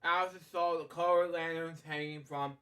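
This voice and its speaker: A man with a distinctly high-pitched voice reads a picture book aloud as a bedtime storyteller. His delivery is halting and rambling, slipping from the story into casual, off-the-cuff commentary and back again. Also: level tone, bright, forceful tone. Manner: rambling, halting, frequently digressing with asides.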